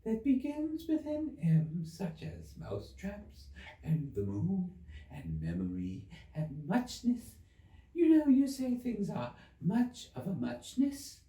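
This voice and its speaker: A man's voice: high-pitched, wildly swooping pitch, slow, mellow, echoey room, distant mic, pitched above the performer's own voice, full character voice. A man voicing a sleepy, sulky little male dormouse telling a rambling storybook tale. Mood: drowsy, rambling. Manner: sulky, indignant, drowsy.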